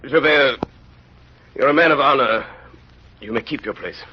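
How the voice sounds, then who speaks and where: An actor playing a grave, guarded town mayor in a vintage radio drama, measured and authoritative. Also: male voice, mid-range voice, slight background noise, natural voice. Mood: firm, grave. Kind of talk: 1930s radio drama acting and narration. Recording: muffled vintage radio recording.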